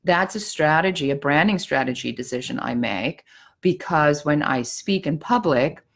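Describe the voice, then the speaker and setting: Low-voiced German woman, blunt coach. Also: expressive, normal speed, gravelly. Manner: blunt, outspoken, wry sarcasm. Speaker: business coach. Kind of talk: casual advice talk.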